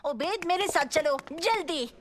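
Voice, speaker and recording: Earnest high-pitched cartoon boy. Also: lively intonation, unhurried. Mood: urgent, reassuring. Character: eager, reassuring young boy, helpful friend acting in a play. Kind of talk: animated cartoon voice acting. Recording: music behind the voice.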